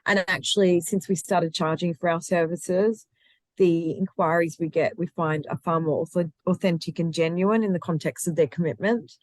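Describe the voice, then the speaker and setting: A woman's voice: on the low side, steady tone, moderate pace, no echo. Passionate woman, dry sound. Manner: passionate, restarts often, laughs easily. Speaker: guest, recruitment founder. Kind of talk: conversational interview.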